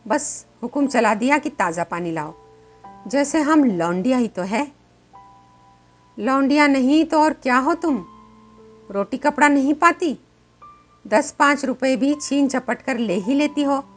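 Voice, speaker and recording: A woman voicing overworked village housewives in a story, grumbling and complaining in a gossipy manner. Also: sits high.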